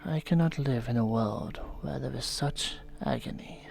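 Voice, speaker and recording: A man voicing a gentle, soft-spoken television painter who has turned menacing, in a horror ASMR role-play. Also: mid-range voice, creaky, close to an everyday voice. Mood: mournful. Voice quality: soft whispery delivery. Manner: slow, soothing, softly menacing. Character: gentle middle-aged male TV painter turned unhinged.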